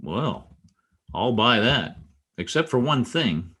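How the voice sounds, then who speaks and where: Man acting a vain columnist, lively swinging intonation, mid-range voice, blustery, easily flustered, old-time radio comedy. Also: medium pitch, takes it slowly, husky, full character voice. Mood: smitten, pleased. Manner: animated, blustering, hesitant when flattered. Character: gruff, vain newspaper columnist, easily flattered. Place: home, online video-call production.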